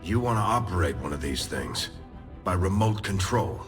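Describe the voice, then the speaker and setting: An actor playing a gruff, no-nonsense military second-in-command in a game cutscene, blunt and commanding. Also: male voice, deep voice, big pitch swings, normal speed. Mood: skeptical, firm. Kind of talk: video game cutscene acting. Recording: music behind the voice.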